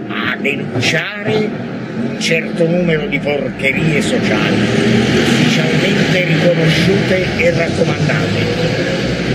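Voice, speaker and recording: A man declaiming a theatrical text in a forceful, often loud delivery. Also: mid-range voice, very noisy. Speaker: actor reciting a monologue. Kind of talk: theatrical recitation.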